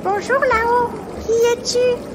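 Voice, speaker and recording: A woman's voice: very high-pitched, fairly even intonation, a little slow, over loud music, pitched above the performer's own voice. A woman voicing a small, curious young male triceratops, cheerful and adventurous, in a children's storybook tale. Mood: eager.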